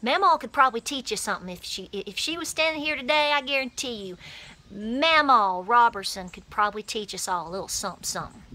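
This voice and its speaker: A woman's voice: fairly high pitch, expressive, fairly quick, pushed and bright. A woman, talking emphatically and animatedly to a phone camera outdoors on her porch as a homesteading vlogger. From Appalachia, USA. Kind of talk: passionate straight-to-camera talk. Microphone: phone camera at arm's length.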